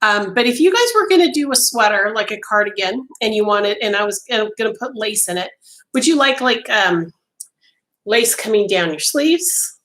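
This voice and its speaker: A woman's voice: medium pitch, animated, normal speed, a bit bright, poor audio. A middle-aged woman losing her voice, chatting casually with live viewers from a small home craft room over a webcam. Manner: chatty, many ums and restarts, talks with her hands. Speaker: livestream host.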